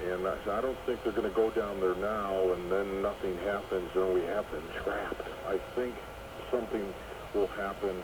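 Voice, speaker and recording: A man hosting a call-in radio show, talking in a measured, conversational way. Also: on the low side, fairly even intonation. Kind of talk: late-night call-in talk. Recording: shortwave radio broadcast with heavy static.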